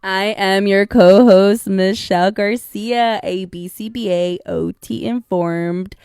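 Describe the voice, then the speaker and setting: Chatty woman with a gravelly voice. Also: medium pitch, steady tone, slow, darkish tone, no echo, studio quality. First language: Spanish. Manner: candid, chatty, addresses listeners as y'all. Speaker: podcast co-host. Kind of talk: casual solo podcast talk.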